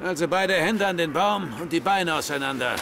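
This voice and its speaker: A man's voice: fairly high pitch, a little fast, creaky, noisy background. A man playing a burly, sneering male security thug in a radio drama, taunting and menacing. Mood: menacing, scornful. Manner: mocking drawl, menacing, taunting. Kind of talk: German radio drama acting.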